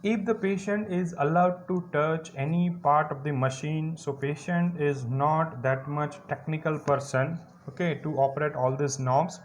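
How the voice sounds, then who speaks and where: A man with an Indian English accent giving a physiotherapy lecture, explaining step by step and checking "clear?" again and again. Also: on the high side, fairly even intonation, measured pace, hardly any pauses, a little hiss or hum. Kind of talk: recorded classroom lecture.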